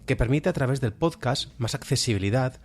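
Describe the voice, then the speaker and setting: A man with a Spain Spanish accent presenting a podcast in an explanatory, measured way. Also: medium pitch, animated. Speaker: podcast host. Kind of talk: podcast presentation, semi-scripted. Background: music.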